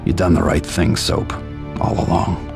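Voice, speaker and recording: An actor playing a grim, cold male vigilante who speaks in a terse, deadpan, gravelly way in a video game. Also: sits low, normal speed. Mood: cold, resolved. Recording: loud music over the voice.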